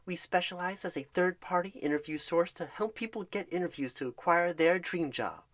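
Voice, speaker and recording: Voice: medium pitch, moderate pace, lightly acted. A performer playing an upbeat, reassuring job recruiter in a soothing ASMR phone-screen roleplay, talking in a wordy, encouraging, salesy way. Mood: friendly, professional.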